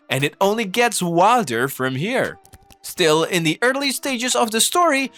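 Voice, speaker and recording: A man's voice: a little high, a bit bright. A man reading scripted video essay narration with rhetorical, mock-exasperated delivery. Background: background music.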